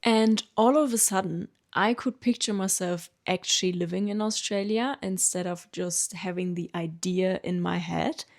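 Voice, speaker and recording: A German woman in her late twenties, a native German speaker with a German accent, calmly telling her own story in an earnest, reflective vlog. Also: fairly low pitch, moderate pace, few pauses. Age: late 20s. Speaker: vlogger. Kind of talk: reflective personal narration.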